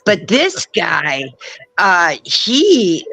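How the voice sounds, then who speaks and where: A woman telling a candid story on a casual talk show, halting and laughing often. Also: lowish voice, wildly swooping pitch, gravelly, pushed and bright, no room sound. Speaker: show regular.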